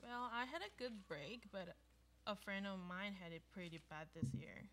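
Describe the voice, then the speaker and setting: Woman acting a chatty young storyteller, medium pitch. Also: animated, normal speed, a bit bright, slight background noise, lightly acted. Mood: chatty, storytelling. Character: chatty young woman telling friend's holiday tale. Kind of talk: scripted conversational radio theater.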